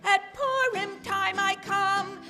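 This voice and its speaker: A woman's voice: extremely high pitch, takes it slowly, music in the background. A woman singing as a cheerful former queen in a children's puppet show.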